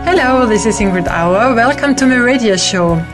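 A middle-aged woman from Austria with an Austrian German accent, hosting a radio talk show in slow, hesitant speech with many fillers. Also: a little high, animated, over loud music.

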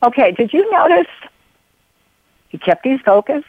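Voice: high voice, very animated, moderate pace, no room sound. A radio show host interviewing a guest, talking in long rambling runs full of fillers and restarts and drifting into personal stories. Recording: phone-like narrow sound.

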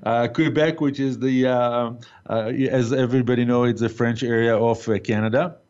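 Hesitant host with a muffled sound. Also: male voice, mid-range voice, fairly even intonation, moderate pace, darkish tone, smooth. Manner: hesitant, many fillers. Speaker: talk show host. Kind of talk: casual interview. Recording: dull lo-fi sound.

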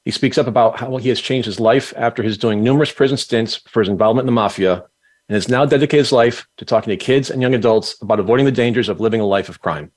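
A man hosting an interview podcast at a middle pitch. He reads a formal introduction in a steady, measured way and then puts questions to his guest. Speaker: podcast host.

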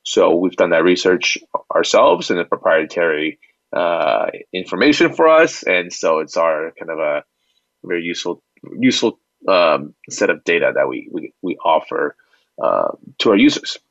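A man with a low voice explains things as the guest in an interview. He talks in a halting, conversational way, often repeating words. The audio is dry and somewhat dull, with limited bandwidth. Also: expressive, vocal fry, a bit bright, no room sound. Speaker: company founder and CEO, interview guest.

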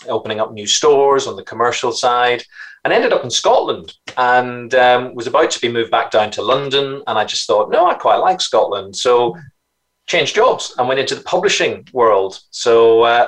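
Casting note: middle-aged Belfast man, Northern Irish accent, animated anecdotal interview guest. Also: medium pitch, poor audio. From Northern Ireland. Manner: animated, anecdotal, repeats words when starting. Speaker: guest entrepreneur. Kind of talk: interview storytelling.

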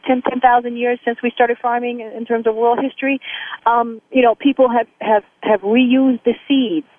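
A woman born in Haiti and raised in the United States, a guest in a radio discussion, speaking with passion and many halting restarts. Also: a little high. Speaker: guest, aid worker. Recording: phone-like radio line.

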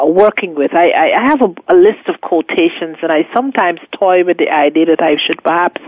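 A woman from Guyana hosting a radio spirituality course, speaking off the cuff in a deliberate, measured way with emphatic pauses. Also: low-pitched, dry recording. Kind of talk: unscripted radio talk answering listener questions. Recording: phone-like, narrow-band radio line.

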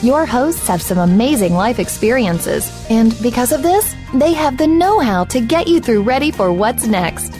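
A woman reads radio promos in a high, lively voice. Her delivery is upbeat and scripted, with a clear music bed playing under her. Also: moderate pace, hardly any pauses. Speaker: radio announcer.

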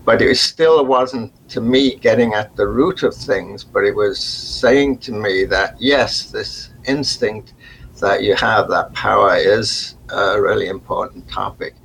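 Slow, gravelly older man. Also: lowish voice, fairly bright tone, a little hiss or hum, rough recording. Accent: faint Liverpool, mostly softened. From England. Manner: slow and halting, with restarts and fillers. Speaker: guest. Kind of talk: reflective interview talk.

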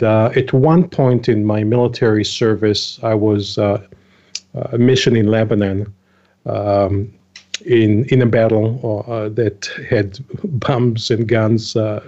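An older man from Israel, a guest in an interview, telling his story in a relaxed way with fillers and small laughs. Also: fairly low pitch. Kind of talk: interview conversation. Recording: dull lo-fi audio.

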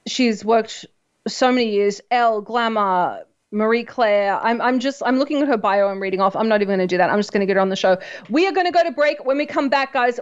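An Australian woman hosts a talk radio show in a fairly high voice. She gushes eagerly and stumbles over her words now and then. The sound is a little muffled, like lo-fi internet radio. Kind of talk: casual talk show hosting.

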